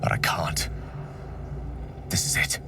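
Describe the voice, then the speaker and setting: A man's voice: takes it slowly, recorded from a distance, background noise, lightly acted. A man playing an anguished, noble wizard in a video game, speaking with earnest, dramatic eloquence. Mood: anguished resolve.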